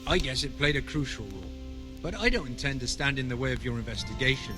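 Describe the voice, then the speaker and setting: A man's voice: mid-range voice, animated, fast talker, music in the background, lightly acted. A man playing a courteous but guarded medieval monastery overseer in a video game, speaking formally. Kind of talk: cinematic game dialogue.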